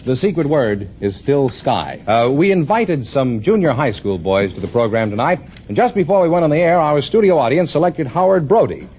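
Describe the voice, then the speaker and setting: A mellow American male announcer with a lively, moving delivery. He speaks in 1950s radio style, on a muffled old recording. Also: on the high side, moderate pace, few pauses, a little hiss or hum. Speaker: show announcer.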